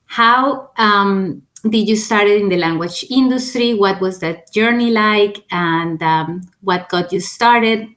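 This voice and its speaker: A woman hosts a podcast, introducing her guest with enthusiasm. Her voice sits at a middle pitch, and she asks her questions with a few fillers. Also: slow pace, hardly any pauses, a bit bright. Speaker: podcast host. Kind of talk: interview host introduction.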